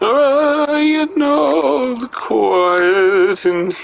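A man's voice: very high-pitched, steady tone, drawn-out words, creaky. A man giving casual, playful and theatrical gameplay commentary as he streams, sometimes slipping into sing-song. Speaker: game streamer.

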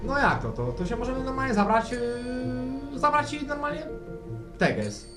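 A man's voice: very high-pitched, very animated, unhurried, over music, full character voice. A man playing an anxious, eccentric, rambling radio caller in a comic radio play, hesitant and stammering, with folksy colloquial speech. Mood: excited, folksy.

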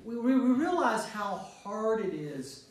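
Higher-voiced male pastor in an echoey room. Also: sing-song, a little slow. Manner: conversational, repeats phrases for emphasis. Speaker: pastor leading a Bible study. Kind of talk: informal group teaching with questions to listeners. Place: echoey room with a small group. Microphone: distant room microphone. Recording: distant mic in echoey room, noise.

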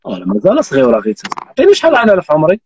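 A man's voice: sits high, wildly swooping pitch, racing, muffled recording, background noise. A Moroccan man in his thirties hosting a live stream, teasing and telling jokes with frequent oaths. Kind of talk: joking casual chat. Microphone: phone on live video call.

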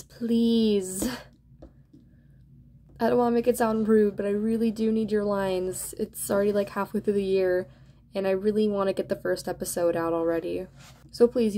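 A woman's voice: medium pitch, warm tone, slight background noise. A woman host giving a casual, apologetic and pleading update to her online viewers. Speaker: channel host and amateur animator.

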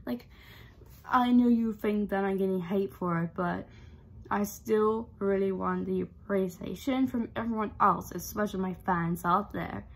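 A young woman with a low voice, vlogging to camera in a casual, rambling way that repeats itself, recorded close on a device in her bedroom. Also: unhurried, gravelly. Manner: rambling, repeats herself, earnest. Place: bedroom, indoors. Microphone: device camera at close range.

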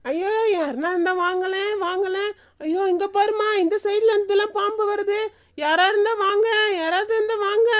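A woman voicing a folksy, fussing elderly village grandmother in an animated story. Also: high voice, moderate pace, natural voice. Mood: panicked. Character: concerned elderly village grandmother, easily alarmed.

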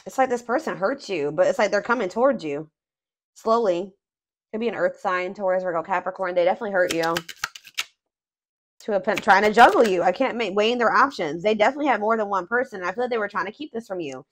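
A woman's voice: medium pitch, fast talker, a little hiss or hum. Chatty woman reading tarot aloud. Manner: thinking aloud, many fillers and restarts. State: voice going hoarse. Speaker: tarot reader. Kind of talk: casual tarot reading narration.

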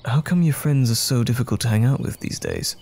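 A man playing a loving, caring boyfriend in an intimate comfort audio roleplay, speaking gently and soothingly with affectionate teasing. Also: mid-range voice, wildly swooping pitch, brisk, gravelly, husky, full character voice. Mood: soft concern.

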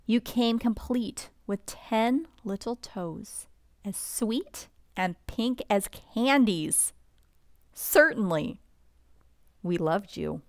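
A woman reading a bedtime picture book aloud in a gentle, expressive, unhurried storybook manner. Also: medium pitch, dry recording.

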